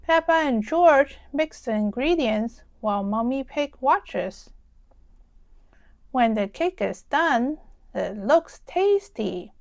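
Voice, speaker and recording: A woman narrates a children's storybook. Her voice is high and her pace slow and deliberate, expressive for young listeners, in a dry, close recording. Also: vocal fry, no room sound. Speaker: children's read-aloud storyteller.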